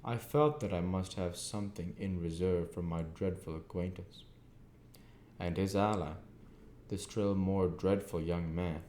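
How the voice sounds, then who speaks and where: Man, low voice, unhurried storybook narration, halting phrasing, roomy echo, faint noise. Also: fairly even intonation, warm tone. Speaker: amateur audiobook reader. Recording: echoey room, faint background noise.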